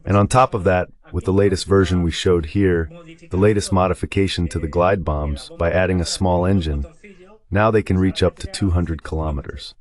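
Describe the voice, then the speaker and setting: A man with a low-pitched voice works through military commentary as an analyst. He explains step by step and drops in conversational asides, in the manner of a translated voice-over. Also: fairly even intonation, moderate pace, darkish tone. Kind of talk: live war commentary read as an AI voice-over translation.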